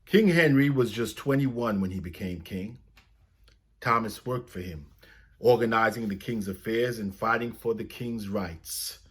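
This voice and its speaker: A man reading a children's story aloud as a storyteller, with expressive, dramatic phrasing. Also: on the low side, measured pace, slightly rough.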